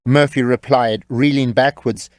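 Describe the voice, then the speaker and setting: A man's voice: mid-range voice, fairly even intonation, measured pace. A man narrating a scripted comic tale as a wry, tongue-in-cheek storyteller.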